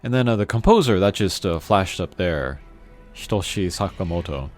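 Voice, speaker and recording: A man's voice: low-pitched, lively intonation, moderate pace. A man hosting a gaming channel, giving casual, rambling and hesitant playthrough commentary. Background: game music.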